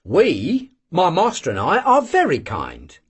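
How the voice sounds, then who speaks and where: A man's voice: fairly high pitch, huge pitch swings, unhurried, husky, pitched above the performer's own voice, exaggerated voice. A man doing a cheerful boastful young servant. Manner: good-natured, proud.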